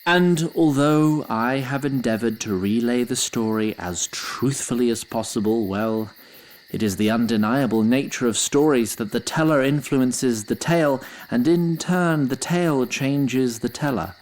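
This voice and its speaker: A man's voice: mid-range voice, unhurried, a little mellow, close to an everyday voice, rough recording. A man playing a fussy, courtly wood sprite who narrates a fantasy audio drama in formal, theatrical language. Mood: wry, courtly.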